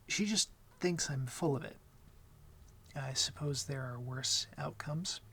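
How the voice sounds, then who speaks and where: A young man playing an awkward, gentle vampire in an intimate ASMR roleplay, talking softly and hesitantly with a wry streak. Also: a little high, gravelly, breathy edge, exaggerated voice. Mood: wry, confiding. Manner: hesitant, soft-spoken, wry.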